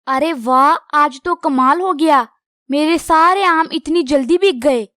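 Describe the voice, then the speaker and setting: A woman's voice: sits high, slow pace, fairly bright tone, dry recording, studio quality. A woman voicing a friendly, polite little female bird who sells mangoes in a children's cartoon. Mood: happy, grateful.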